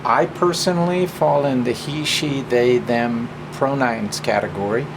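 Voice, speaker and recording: A man speaking informally and deliberately as a presenter, drawing out words for emphasis. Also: mid-range voice. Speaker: presenter and drag performer. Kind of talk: informal personal talk and story hour introduction. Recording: clear steady hum of background noise.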